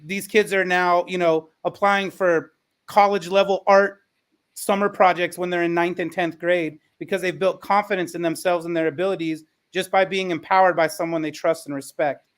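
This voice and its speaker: High-voiced man moderating a panel. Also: steady tone, moderate pace.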